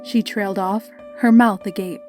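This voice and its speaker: A woman's voice: mid-range voice, steady tone, moderate pace, darkish tone, soft background music. A woman reading scripted story narration.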